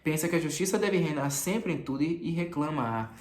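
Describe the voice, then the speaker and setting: A man's voice: on the high side, expressive, brisk, natural voice. A man voicing a timid, anxious, compassionate young woman in an audiobook, hesitant and repeating words. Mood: pleading, distressed. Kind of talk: audiobook character reading. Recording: echoey room.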